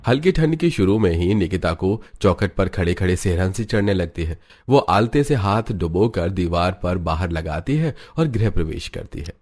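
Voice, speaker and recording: A man's voice: sits low, lively intonation, moderate pace, hardly any pauses, a little mellow. A man giving a scripted narration for a cartoon family story.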